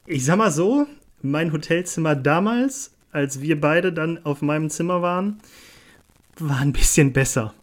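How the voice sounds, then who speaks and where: A man's voice: a little high, expressive, unhurried. A young man chats casually as a podcast co-host, rambling and joking in colloquial slang. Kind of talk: casual unscripted podcast chat. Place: small hotel room.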